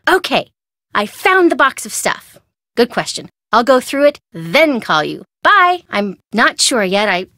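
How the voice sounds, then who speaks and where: An actress playing a perky, nervous young woman sidekick in a video game, with an American accent and a chatty, wry, expressive delivery. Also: on the high side, no room sound, studio quality. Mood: breezy. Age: young adult. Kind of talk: scripted video game dialogue, phone-call chatter.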